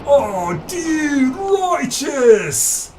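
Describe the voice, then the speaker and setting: A man playing an easygoing alien surfer with a stoner teen voice, drawing out his excitable surfer slang. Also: extremely high pitch, very slow, background noise, voice pushed up, exaggerated voice. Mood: stoked.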